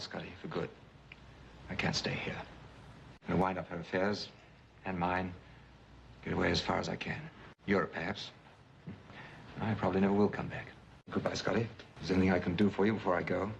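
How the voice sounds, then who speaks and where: A dull, faintly noisy old film track holds a grave widower. His low voice creaks, subdued and resigned, in restrained 1950s screen style. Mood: apologetic. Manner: subdued, flat. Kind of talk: 1950s Hollywood film acting.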